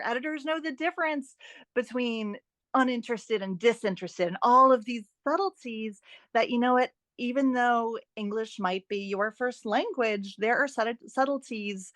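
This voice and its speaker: A woman guest in a conversational interview, giving advice in an animated, emphatic and encouraging way with playful asides. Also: fairly high pitch, moderate pace, dry recording. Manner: animated, emphatic, repeats phrases for stress. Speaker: podcast guest, writing and editing expert.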